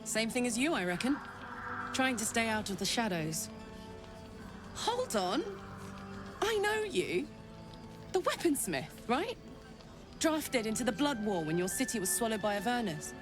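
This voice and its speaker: An actress voicing a chatty, earnest soldier with an infernal engine for a heart in a video game, with a British accent and blunt, expressive delivery. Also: female voice, a little high, a little slow, pauses often. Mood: friendly, wry. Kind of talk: video game character acting. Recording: game audio with music behind. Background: music.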